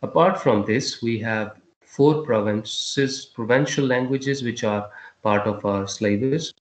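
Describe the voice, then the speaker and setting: A male teacher giving a class lesson, quizzing his students, with a halting delivery full of fillers and restarts. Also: mid-range voice, reverberant.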